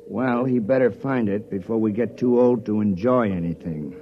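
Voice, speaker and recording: A man's voice: on the low side, moderate pace, a little hiss or hum, natural voice. Man acting a doubtful stranded adventurer, muffled radio. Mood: weary grumbling. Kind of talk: 1950s radio drama acting. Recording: dull vintage radio drama recording.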